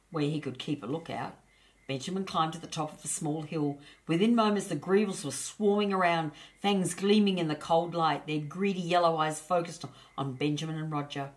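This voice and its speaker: A woman's voice: fairly low pitch, low-quality recording. A woman storyteller narrating a children's storybook.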